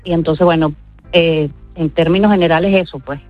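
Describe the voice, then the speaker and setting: A woman from Venezuela with a Venezuelan accent answers interview questions informally, in a halting way with repeated words. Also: low-pitched, fairly even intonation, no room sound, faint music behind. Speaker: interview guest. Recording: dull, band-limited radio-like sound.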